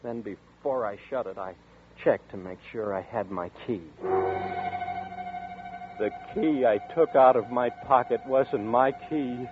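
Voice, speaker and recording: A man's voice: mid-range voice, measured pace, phone-quality sound, close to an everyday voice. An actor playing a frightened young man who narrates and stammers nervously, in 1940s radio style. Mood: tense narration. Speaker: narrator and lead. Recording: scratchy 1940s radio broadcast.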